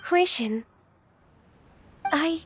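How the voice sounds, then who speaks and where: An actress voicing a modest, gentle woman secretary in a video game, with a courteous and reassuring way of speaking. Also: very high voice, animated, drawn-out words, exaggerated voice. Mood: sincere, moved. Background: background music.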